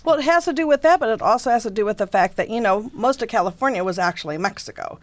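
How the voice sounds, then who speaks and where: A woman's voice: fairly high pitch, lively intonation, quick pace. A woman from Texas, speaking as a panelist in a conversational interview, explaining with emphatic engagement.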